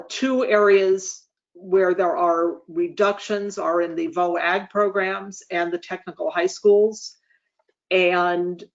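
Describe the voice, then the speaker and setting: A speaker hosting an online meeting, giving semi-formal updates in measured, formal wording with frequent hesitant pauses. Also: mid-range voice. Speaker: association leader hosting a meeting. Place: online webinar call. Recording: dull, lo-fi online meeting audio.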